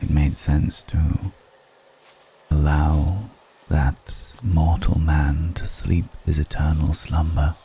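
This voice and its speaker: Man as a very deep, gravelly ancient vampire. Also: little pitch movement, dark tone, a little hiss or hum, exaggerated voice. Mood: reflective, grave. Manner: slow, measured, pausing between phrases, formal wording. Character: ancient male vampire, wistful, refined, darkly seductive. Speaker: voice actor in an audio roleplay. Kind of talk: slow intimate ASMR roleplay acting.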